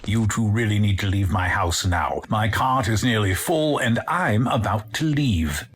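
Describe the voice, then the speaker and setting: A man's voice: on the low side, animated, measured pace. An actor playing a cowardly, haughty man in an audio drama, indignant and desperate to flee. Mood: impatient. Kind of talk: full-cast audio drama acting.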